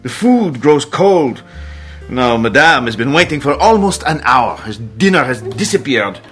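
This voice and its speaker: Bright, fussy, proud French chef. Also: male voice, mid-range voice, sing-song, unhurried. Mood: indignant, exasperated. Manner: indignant, fussy, theatrical. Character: temperamental, proud French male hotel chef. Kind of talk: radio drama acting. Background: faint music.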